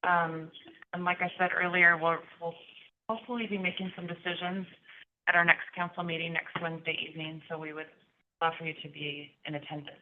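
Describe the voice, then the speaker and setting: A woman chairs a city council work session, friendly and polite as she welcomes applicants and thanks them. Her voice sits a little low. It comes through a tinny, phone-like line with a faint hiss. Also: fairly even intonation, brisk. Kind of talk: leading applicant interviews.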